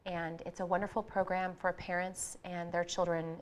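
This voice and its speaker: Woman music teacher being interviewed, slightly low voice, talks smooth and earnest. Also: level tone, moderate pace, a little hiss or hum. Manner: fluent, earnest explaining. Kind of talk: interview answers.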